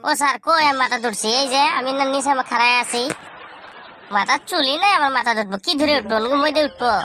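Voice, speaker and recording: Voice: sits high, fast talker, bright, forceful tone, a little hiss or hum. A performer voicing a cheeky, dim-witted village schoolboy in a comedy cartoon, whining and arguing back in a rural Bangladeshi accent with mangled English. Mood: whiny, cheeky. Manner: whiny back-talk, mangled English mixed into dialect.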